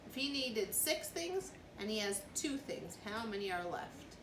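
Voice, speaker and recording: Lady doing storytime for little kids, teacherly, really lively voice, echoey room w some noise. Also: medium pitch, far from the mic. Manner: expressive, teacherly, pausing to explain and count. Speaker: story reader for young children. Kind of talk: read-aloud storytime with questions to children. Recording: roomy echo, clear background noise.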